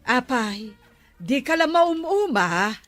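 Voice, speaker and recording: A woman's voice: sits high, very animated, slow pace, fairly bright tone, no room sound, soft background music, exaggerated voice. A woman playing a nagging, disapproving villager in an Ilocano comedy drama. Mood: scolding.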